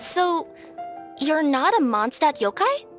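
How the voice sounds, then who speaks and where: A cheerful young cat yokai courier with a very high voice and lively swoops. She is breezy and playful, in game dialogue with faint music behind. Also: slow pace, exaggerated voice. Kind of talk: video game character dialogue.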